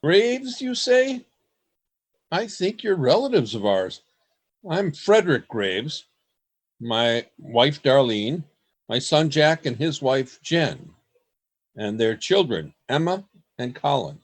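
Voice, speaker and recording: A man's voice: on the high side, big pitch swings, takes it slowly, pauses often, heavily acted. A man acting in a radio-style play as an earnest, bewildered present-day grandfather.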